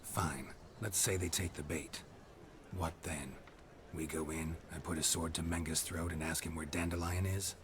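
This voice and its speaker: An actor voicing a gruff, stoic monster hunter in a video game, terse and deadpan with a gravelly low voice. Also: male voice, normal speed, a little hiss or hum. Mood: wary, reluctant. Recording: music behind the voice.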